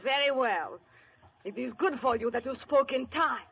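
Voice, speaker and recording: Sharp, imperious melodramatic woman. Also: high-pitched, expressive, moderate pace, gravelly, husky, heavily acted. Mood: imperious, threatening. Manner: formal, clipped, melodramatic threats. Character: imperious, menacing young woman with a gun. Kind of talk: 1930s-40s radio melodrama acting. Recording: muffled old radio broadcast.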